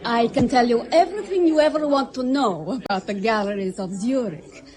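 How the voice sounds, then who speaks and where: An actress playing a devoted, coaxing Soviet comrade and lover in a radio drama, speaking softly and breathily. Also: female voice, fairly high pitch, moderate pace, a faint crowd, natural voice, rough recording. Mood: affectionate.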